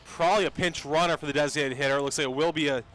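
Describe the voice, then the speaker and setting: A man's voice: fairly high pitch, fairly even intonation, rapid-fire, a bit bright, a little hiss or hum. A man giving color commentary and analysis during a live radio baseball broadcast. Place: outdoor baseball stadium broadcast.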